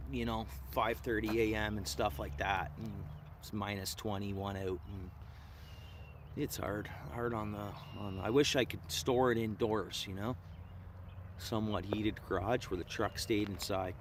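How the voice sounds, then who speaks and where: A man's voice: on the low side, fairly even intonation, moderate pace. A Canadian man with an Ontario accent chats casually and in a slangy, laid-back way as he hosts a fishing livestream. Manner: laid-back slangy talk, says dude, man, bro. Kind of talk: casual live chat with viewers. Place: outdoors at a fishing spot. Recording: clear outdoor ambient noise.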